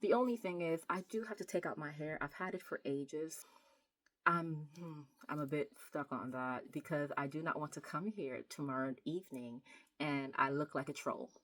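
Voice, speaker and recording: Low-pitched woman, emphatic casual vlogger. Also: moderate pace, fairly bright tone. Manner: emphatic, repeats words for stress. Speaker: book vlogger. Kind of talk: casual chatty vlog about writing.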